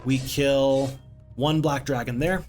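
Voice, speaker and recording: A man's voice: medium pitch, steady tone, takes it slowly, darkish tone, soft background music. A man casually commenting on his strategy game stream, rambling and chatting to viewers. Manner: chatty, rambling, reads viewer chat aloud.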